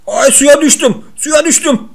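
A man acts out a clumsy comic everyman in a video game role-play. He is high-pitched, slangy and given to whining complaints. The delivery is playful and improvised. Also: unhurried, creaky, heavily acted. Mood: comic panic.

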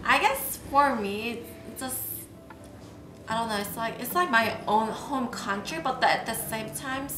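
Hesitant young woman, slightly high voice. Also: unhurried, echoey room, music in the background. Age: 20s. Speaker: exchange student. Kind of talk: interview answers.

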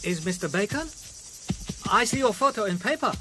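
An actor playing an anxious, deferential stable groom in a radio drama, speaking halting, clipped broken English. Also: male voice, high-pitched, expressive, sharp tone, slight background noise. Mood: nervous, deferential.

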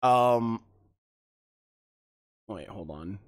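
A man's voice: on the low side, lively intonation, drawn-out words. A man chatting casually as a livestreamer, slangy and full of swearing. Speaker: game streamer. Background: game music.

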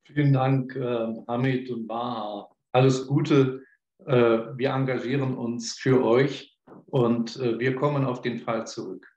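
A man's voice: medium pitch, steady tone, darkish tone. Older man moderating, roomy dull audio. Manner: brisk, with filler pauses. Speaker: press conference moderator. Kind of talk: moderating an online press talk. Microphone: webcam on a video call.

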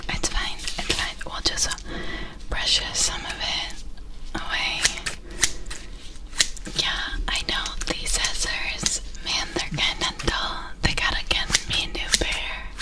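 A woman's voice: deep voice, pushed and bright, rough recording. A young adult woman whispering close to a binaural microphone in an ASMR roleplay as a nervous, squeamish alien doctor, with hesitant, repetitive muttering. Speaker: ASMR performer. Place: small dim indoor room. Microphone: close binaural ear microphone.

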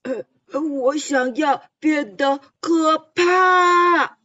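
A woman's voice: extremely high pitch, very slow, a bit bright, dry recording, far from the mic, full character voice. A woman voicing a small, cute quokka that whines and cries out in defiance, on a dull, dry recording. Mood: whiny. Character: small cute quokka wanting to seem fierce.

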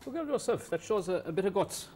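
A man's voice: high voice, lively intonation, quick pace, mellow, slight background noise. A man playing a crude, patronizing small-town boss, rambling and folksy. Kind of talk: comedy sketch acting.

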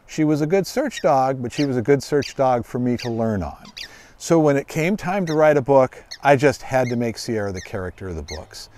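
A middle-aged man reading a children's storybook aloud outdoors in a deliberate, expressive way. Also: medium pitch, a little hiss or hum. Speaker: guest author reading own children's book. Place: outdoors on a grassy lawn by trees.

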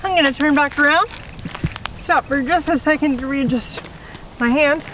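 Young woman vlogging on a park walk, high voice, kinda out of breath, far-off mic, loud wind. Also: moderate pace. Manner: encouraging, a little out of breath from walking on crutches. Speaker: fitness vlogger. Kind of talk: casual talk while walking. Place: outdoor park sidewalk, windy. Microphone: camera filming from a distance. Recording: distant camera mic, loud wind noise.

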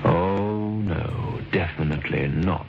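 An actor playing a wry, insinuating storyteller, narrating an old radio drama. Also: male voice, sits low, takes it slowly. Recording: dull old radio recording, music behind.

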